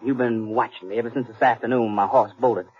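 An American actor playing a sullen, defensive young cowhand and kid brother in a 1950s radio western, in surly, clipped rustic speech. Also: male voice, mid-range voice, fairly even intonation, fast, a little mellow, husky, natural voice. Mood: sullen, defiant. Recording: muffled 1950s radio broadcast, faint music.

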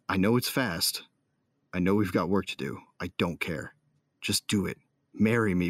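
A man's voice: low voice, dry recording, clean studio sound. A man playing a passionate, lovestruck space bounty hunter, narrating intimately and earnestly in an audio drama. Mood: earnest, urgent. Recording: loud music behind the voice. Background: music.

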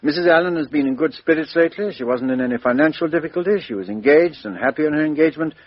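A brisk police inspector. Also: male voice, on the high side, slightly rough, no echo. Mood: businesslike. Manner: methodical, businesslike questioning. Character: brisk middle-aged male police inspector. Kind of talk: 1950s radio drama acting. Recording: dull 1950s radio recording.

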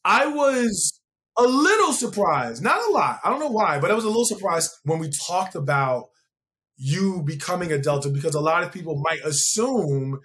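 An American man with a somewhat higher-pitched voice hosts a conversational interview. He sounds informal and encouraging, speaking in a casual, unscripted way. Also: big pitch swings, moderate pace, fairly bright tone. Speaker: interview show host.

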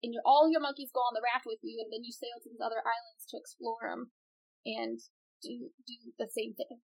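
A woman's voice: on the high side, level tone, a little fast, vocal fry, low-quality recording. A woman co-hosting a casual gaming podcast, chatty and rambling, laughing often as she explains. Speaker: podcast co-host. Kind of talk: casual chat.

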